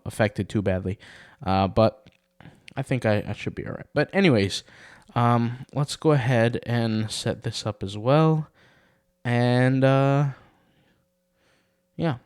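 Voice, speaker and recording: A man with a slightly raspy voice giving a casual, rambling screen-recorded tutorial on building a website, full of fillers and restarts. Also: mid-range voice, dry recording, studio quality. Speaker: blogging tutor.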